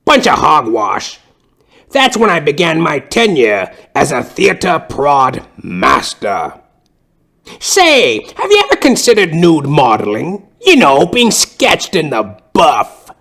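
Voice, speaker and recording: A man acts out an old woman, once a circus clown and now a theatrical schoolteacher. She cajoles in grand, drawn-out phrases that swoop up and down. It is played as broad audio comedy, slow and savoured. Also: a little high, huge pitch swings, vocal fry, fairly bright tone, pitched above the performer's own voice, exaggerated voice. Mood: brazen, coaxing. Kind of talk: comic audio play acting.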